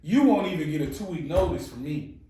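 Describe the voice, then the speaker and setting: A man's voice: fairly high pitch, animated, a little fast, mellow, distant mic, a little hiss or hum. Blunt New man in echoey room. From New Orleans, Louisiana. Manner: profane, emphatic, motivational. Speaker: podcast co-host. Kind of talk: casual chat, giving blunt advice.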